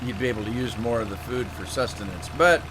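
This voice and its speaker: Folksy rambling man, voice going rough. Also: mid-range voice, quick pace, background noise. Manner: rambling, folksy, restarts often. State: slightly losing his voice. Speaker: game streamer. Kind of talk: casual live-stream chat while playing.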